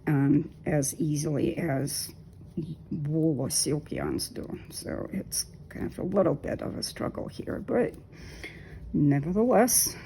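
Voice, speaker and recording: Low, gravelly-voiced woman in her fifties. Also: big pitch swings, warm tone, slight background noise, rough recording. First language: Russian. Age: 50s. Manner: unhurried, hesitant with many ums. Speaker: knitting vlogger. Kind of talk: casual talk while knitting.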